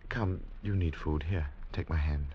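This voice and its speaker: Kindly, worried senor in an old radio play; deep, slow, dull vintage sound. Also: male voice, slightly rough. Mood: concerned. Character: concerned, kindly Spanish-titled gentleman friend of wanderer. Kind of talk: 1940s radio drama acting. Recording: muffled vintage radio recording.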